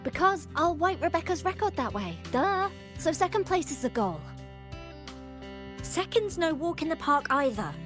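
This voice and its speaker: A woman's voice: very high-pitched, very animated, normal speed, over music. An actress playing a cocky, spirited young student girl in anime-style game dialogue, playful and breezy.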